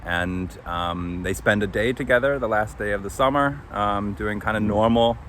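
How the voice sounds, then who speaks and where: Male podcast host, lowish voice, hesitant unscripted delivery, recorded outdoors with slight ambient noise. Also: fairly bright tone. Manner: hesitant, halting with long pauses. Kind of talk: casual unscripted recap. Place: outdoors under a tree.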